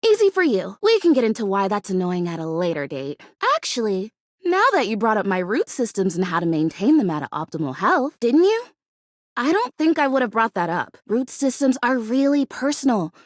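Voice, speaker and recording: A woman's voice: on the high side, sing-song, brisk, no echo, studio quality. A woman voicing a bubbly, scatterbrained young plastic houseplant in a video game, chatty and gushing. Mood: chatty, awkward. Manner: gushing, chatty, easily distracted, exclamatory. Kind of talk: animated video game character acting.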